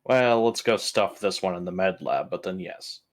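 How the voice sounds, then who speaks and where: A man's voice: deep voice, moderate pace. A man playing a tabletop roleplaying game, bantering casually in a wry, blunt way.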